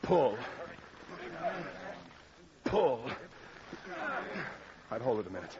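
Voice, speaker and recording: A take-charge crew boss in old radio play, barks orders, reassuring, animated, dull sound, people behind him. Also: male voice, mid-range voice, very slow, a crowd in the background. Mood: urgent, encouraging. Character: commanding, reassuring air crew leader. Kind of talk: old-time radio drama acting. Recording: dull old radio, noisy background voices.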